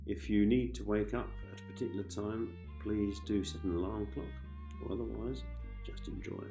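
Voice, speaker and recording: A man guiding a sleep hypnosis session in a calm, gentle manner, giving repetitive soothing instructions with pauses. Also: lowish voice, reverberant, music in the background. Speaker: hypnosis host, meditation guide.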